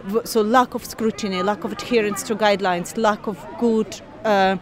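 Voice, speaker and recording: A woman in her forties, originally from Ukraine, speaks English with a Ukrainian accent in an outdoor park interview, earnest and measured with frequent pauses. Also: medium pitch, steady tone, some chatter behind. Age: 40s. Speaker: interviewee, scientist. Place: park outdoors, people milling behind.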